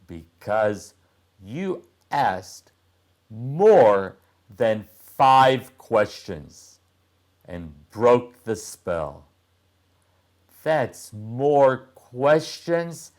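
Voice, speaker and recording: A man's voice: mid-range voice, big pitch swings, very slow, heavily acted. Man playing a mysterious, lilting storybook princess. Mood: explaining. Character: mysterious smiling girl, a storybook princess under a spell. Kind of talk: children's storybook read-aloud.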